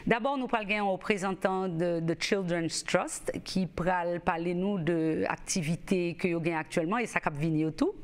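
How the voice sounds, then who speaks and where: A woman hosting a TV newsmagazine, welcoming viewers and interviewing a guest in a lively way. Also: lowish voice, moderate pace, hardly any pauses. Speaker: host. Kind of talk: TV magazine show hosting and interviewing.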